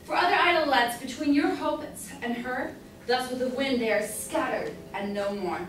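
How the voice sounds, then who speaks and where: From a distance in a very echoey room comes a woman acting a haughty old merchant father. The voice is fairly high, blustering and scheming, in a period stage comedy. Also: moderate pace, a bit bright. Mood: hearty, approving. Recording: echoey room from far off, faint noise.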